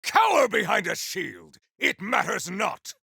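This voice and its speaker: Zealous video game champion: high-pitched for a man, raspy, bombastic and theatrical, swooping boldly through boastful combat barks. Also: huge pitch swings, a little slow, pushed and bright, no echo, clean studio sound, full character voice. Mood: battle fury. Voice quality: gravelly, raspy. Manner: bombastic, archaic, theatrical declamation. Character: zealous, boastful male warrior champion, grandiose and battle-hungry.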